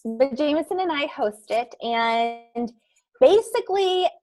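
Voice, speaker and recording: A woman co-hosting a podcast, chatting excitedly in a casual panel and laughing often. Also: high voice, a little slow, no echo, poor audio. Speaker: podcast co-host.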